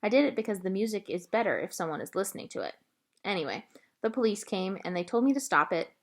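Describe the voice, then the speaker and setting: Woman reading as a shy, lonely, gentle man. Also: sits high, animated, quick pace. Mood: subdued, confessing. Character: shy, lonely, gentle male pet-store clerk, ex-prisoner.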